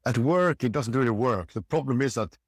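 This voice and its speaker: A middle-aged man, a guest in a casual interview, talking in halting bursts with many restarts. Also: mid-range voice, expressive, dry recording, clean studio sound. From Sweden. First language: Swedish. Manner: halting, restarts often, frequent "yeah". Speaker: podcast guest.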